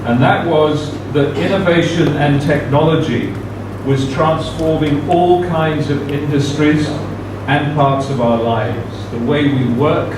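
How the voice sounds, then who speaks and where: A man giving a formal talk as guest speaker at a university seminar, speaking carefully with many "uh" fillers. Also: on the high side. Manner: measured, careful delivery with frequent "uh" fillers. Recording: very echoey room, distant mic, background noise.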